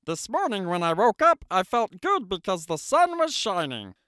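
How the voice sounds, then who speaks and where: A man voicing a cheerful, contented male frog in a high, lilting voice. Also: big pitch swings, normal speed, bright, forceful tone, clean tone, dry recording, heavily acted.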